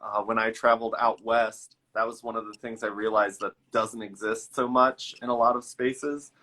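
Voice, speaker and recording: A man's voice: lowish voice, flat delivery, moderate pace, pushed and bright. A man from Virginia hosting a casual interview over a video call, friendly and upbeat. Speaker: podcast host.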